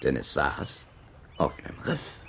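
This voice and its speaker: A man's voice: rumbling low, wildly swooping pitch, measured pace, creaky, fairly bright tone, a little hiss or hum, exaggerated voice. A man playing a wry, leisurely storyteller who narrates a children's radio play in rhyme.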